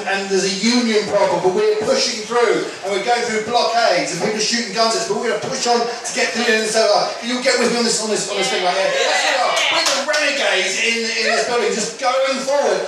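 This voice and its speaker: A man hosting a live comedy night as MC, rallying the crowd in an excitable, repetitive way. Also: sits high, fairly quick, hardly any pauses. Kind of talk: hyping up a live audience. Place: indoor live event. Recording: very echoey room, distant mic, clear noise.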